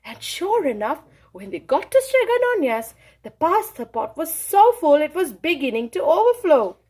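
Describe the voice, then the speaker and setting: A woman reading a children's story aloud in an expressive, dramatic style with emphatic phrasing. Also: sits high, moderate pace.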